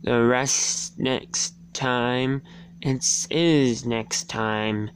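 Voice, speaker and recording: Very slow, halting amateur storybook reader. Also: medium pitch, fairly even intonation. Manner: halting, stumbling over words. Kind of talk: dramatic storybook and poem reading. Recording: faint background noise and hum.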